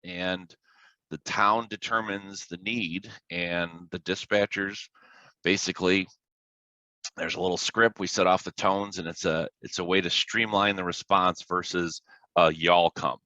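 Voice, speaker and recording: Male guest, American, very low pitch with a forceful edge, informal explainer on a dry, slightly dull recording. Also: fairly even intonation, unhurried, no echo. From Illinois, United States. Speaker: podcast guest, fire dispatcher. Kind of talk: casual explanatory interview talk with anecdotes.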